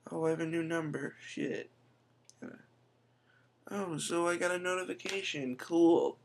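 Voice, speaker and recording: A man's voice: a little high, vocal fry, slight background noise. Chatty young man on a webcam. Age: young adult. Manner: chatty, quick asides, says "I'm telling you". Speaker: tech vlogger. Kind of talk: casual screen-recorded tutorial. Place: indoor room.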